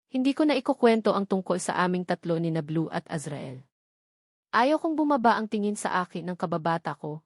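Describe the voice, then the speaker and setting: A woman's voice: fairly low pitch, darkish tone, no room sound, studio quality. A woman with a Filipino accent reading a romance novel aloud in the first person as a scripted story narrator. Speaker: audiobook narrator.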